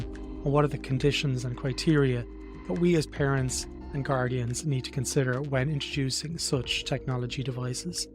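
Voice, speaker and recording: A man hosting a design podcast, talking in an enthusiastic, conversational way. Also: mid-range voice. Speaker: podcast host. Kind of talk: podcast intro and interview.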